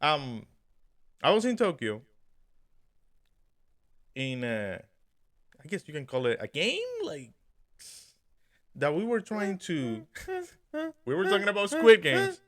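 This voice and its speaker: A young man co-hosting a podcast, telling a dream story in an animated way, laughing and acting out what people said. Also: on the high side, slow, long pauses. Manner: animated, laughing, acts out quotes. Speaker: podcast co-host. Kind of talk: casual chat, storytelling.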